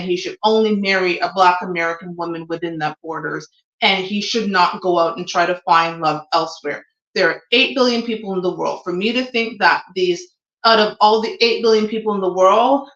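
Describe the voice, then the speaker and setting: A woman's voice: mid-range voice, fairly bright tone, roomy sound, dull audio. A woman in her forties, born in Jamaica and raised in Toronto, hosting a frank solo talk show with plenty of ums. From Jamaica, raised in Toronto, Canada. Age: 40s. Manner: frank, opinionated, with frequent ums. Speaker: show host. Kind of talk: solo opinion livestream talk.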